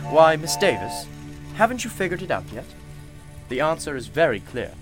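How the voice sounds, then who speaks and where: A man's voice: fairly high pitch, very animated, normal speed, music in the background. A man playing a genial, knowing, mysterious shopkeeper in a radio play, with a courtly, theatrical and cryptic manner. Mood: teasing, courteous.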